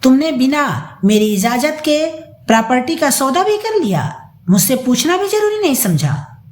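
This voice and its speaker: A woman's voice: sits high, very animated, unhurried, voice pushed up. A woman voicing a stern, indignant older father in a dramatised audio story. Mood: wounded anger. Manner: indignant, accusing, firm. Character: stern, angry older father rebuking his greedy son. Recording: roomy echo.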